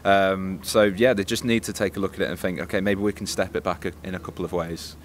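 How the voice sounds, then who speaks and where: A man giving a casual spoken review in a measured, hesitant, diplomatic way as a co-presenter. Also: low voice, steady tone. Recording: faint background ambience noise.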